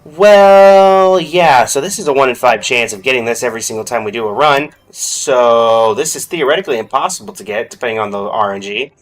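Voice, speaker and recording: A man's voice: mid-range voice, brisk, hardly any pauses. A man doing casual, chatty livestream commentary on a video game, speaking directly to his viewers. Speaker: live streamer.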